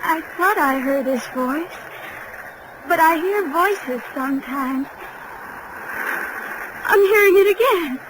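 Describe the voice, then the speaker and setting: A woman's voice: very high voice, animated, takes it slowly, pauses often, heavily acted. An actress playing a troubled, haunted young woman in an overwrought, melodramatic 1940s radio detective drama style, on a noisy old recording. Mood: dazed, confessing. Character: troubled, haunted young woman who believes herself evil.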